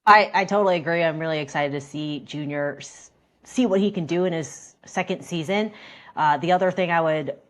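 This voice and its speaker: A woman with a notably deep voice chats about sport on a panel. She talks like a reporter thinking out loud, in a conversational manner. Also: fairly quick. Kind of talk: casual sports panel talk.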